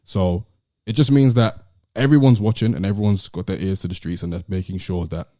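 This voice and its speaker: Deep, mellow British man. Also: brisk, no echo, studio quality. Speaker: podcast host. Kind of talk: casual discussion.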